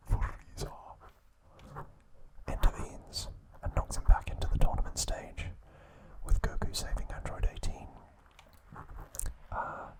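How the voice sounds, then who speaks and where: Slow whispering man, ASMR recap. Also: low-quality recording. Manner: slow, unvoiced whisper with small slips and mispronunciations. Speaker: ASMR host.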